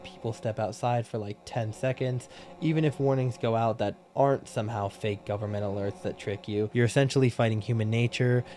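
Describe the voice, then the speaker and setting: A man's voice: lowish voice, darkish tone. A young man giving a scripted countdown commentary in a casual, blunt way, swearing now and then for emphasis. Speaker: YouTube commentator.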